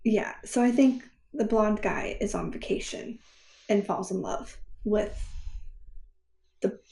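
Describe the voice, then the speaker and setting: A woman's voice: mid-range voice, expressive, slow pace, vocal fry, dark tone. A woman co-hosting a podcast, chatting casually about books in a roomy, echoey recording. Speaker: podcast co-host. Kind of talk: casual book chat.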